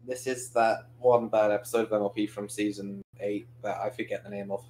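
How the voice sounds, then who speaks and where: A man in a casual podcast chat, talking hesitantly with many pauses and fillers. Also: on the low side, flat delivery, darkish tone, a little hiss or hum, poor audio. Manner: hesitant, full of "like" and restarts. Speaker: podcast co-host and player.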